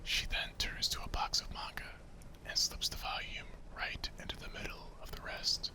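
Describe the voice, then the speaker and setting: A man's voice: moderate pace, sharp tone. A man narrating a visual novel in a whispered ASMR style.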